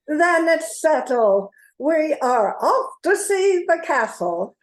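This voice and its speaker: Lady doing earnest young girl heroine, high voice, takes her time, echoey room, radio play. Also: big pitch swings, slow, heavily acted. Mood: resolute. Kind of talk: amateur radio play acting.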